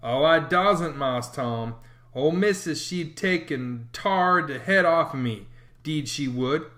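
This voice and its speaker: A man reading aloud and voicing a timid young boy who is afraid of his mistress, speaking slowly and pleadingly. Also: on the high side, expressive, fairly bright tone. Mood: fearful.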